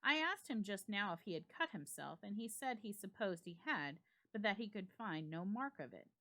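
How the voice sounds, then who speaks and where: An uneasy gentleman from an old ghost story, acted out by a woman reading aloud. The delivery is puzzled, emphatic and questioning. The pitch is medium for a female voice and rises and falls freely. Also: big pitch swings, normal speed. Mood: uneasy, intrigued.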